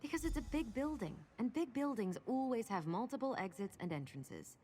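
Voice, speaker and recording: An actress playing a confident, practical adventuring guide in a fantasy video game, explaining things in a matter-of-fact way. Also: female voice, fairly high pitch, expressive, normal speed, mellow, a little hiss or hum, close to an everyday voice. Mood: determined. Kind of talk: scripted video game dialogue.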